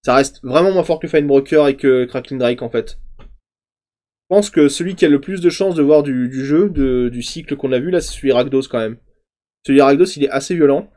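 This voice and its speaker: A man in his thirties casually reviewing card previews on a stream, chatty and thinking aloud, often trailing off into quieter asides. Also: mid-range voice, moderate pace. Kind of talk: casual game commentary. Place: home streaming room, indoors.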